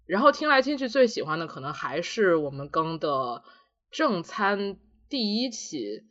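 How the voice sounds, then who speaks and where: Lower-pitched podcast co-host, dull recording. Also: very animated, unhurried, fairly bright tone. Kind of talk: casual two-person podcast chat.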